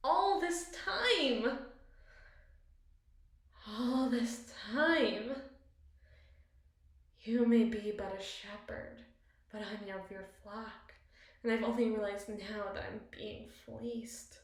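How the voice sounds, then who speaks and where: Girl doing an evil queen asmr thing, possessive n scheming, fancy dramatic wording, highish voice, super echoey bathroom. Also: slow, pauses often. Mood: bitter admiration. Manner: ornate, eloquent, dramatic phrasing. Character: possessive, cunning evil queen, doting yet menacing spouse. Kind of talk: ASMR roleplay, theatrical monologue. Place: small bathroom, indoors.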